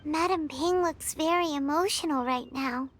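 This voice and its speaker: A woman voicing a tiny, curious, childlike sprite companion in a video game, blunt and squeaky. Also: normal speed, a bit bright, slight background noise.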